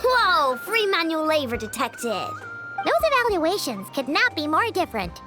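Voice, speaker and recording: Childlike fairy companion, squeaky, excitable, extremely high, wildly animated, anime game, music behind. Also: female voice, a little slow, exaggerated voice. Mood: cheeky. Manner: talks about herself in the third person.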